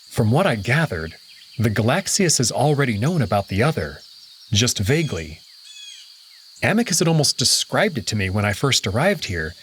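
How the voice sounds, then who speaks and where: A man's voice: mid-range voice, a bit bright, slight background noise. A man giving reflective first-person narration of a visual novel, voicing its lead character.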